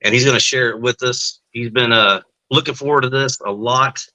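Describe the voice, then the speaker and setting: Bright-voiced man hosting a live stream. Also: mid-range voice, steady tone, moderate pace, rough recording. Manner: chatty, many fillers. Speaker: host of a live testimony show.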